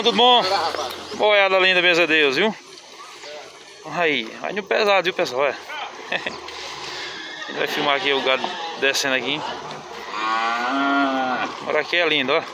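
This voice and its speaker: A man with a Northeastern Brazilian accent, filming a livestock fair on his phone and chatting loudly with sellers, calling each one boss. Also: on the high side, expressive, normal speed, pauses often. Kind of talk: casual walking commentary. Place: outdoor animal fair, small town. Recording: outdoor crowd noise. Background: crowd and animals.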